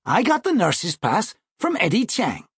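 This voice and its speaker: A man plays a pompous, self-assured young boy in a children's audiobook. The voice is lifted high and swings about with breezy confidence, wordy and smug. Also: huge pitch swings, a little slow, breathy edge, no room sound, clean studio sound, heavily acted. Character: confident, pompous, precocious seventh-grade boy. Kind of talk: audiobook character voice.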